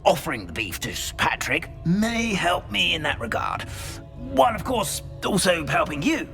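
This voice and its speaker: A genteel British ghost of a nobleman, with a bright, forceful voice that rises and dips freely. He is courteous and formal, yet hesitant and easily flustered, as in a fantasy game. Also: high voice, big pitch swings, moderate pace, creaky, faint music behind, full character voice. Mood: hedging, reassuring. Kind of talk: video game character acting.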